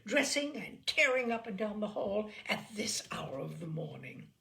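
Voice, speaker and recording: A woman's voice: medium pitch, very animated, a little slow, gravelly, bright, forceful tone, full character voice. A woman voicing a capable, stern mother with a cool, commanding manner in a humorous story. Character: grim, capable, unflappable mother.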